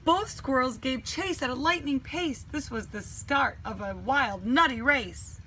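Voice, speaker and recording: A woman reads a children's storybook with theatrical emphasis. Her voice is fairly high and she takes her time, shaping each phrase dramatically. Faint noise sits behind her. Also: rough recording. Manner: animated, dramatic, deliberate emphasis. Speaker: teacher reading a picture book. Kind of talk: expressive children's storybook read-aloud.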